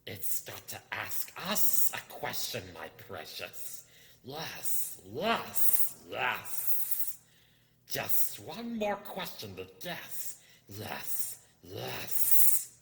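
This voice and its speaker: A man's voice: on the low side, wildly swooping pitch, bright, forceful tone, heavily acted. A man voicing a nasty, wretched, slimy cave creature who wheedles and gets easily upset, hissing and drawing out his words in a storybook reading. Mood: hungry, demanding. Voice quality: hissing, creaky. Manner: drawn-out, repetitive, theatrical. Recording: echoey hall-like reverb.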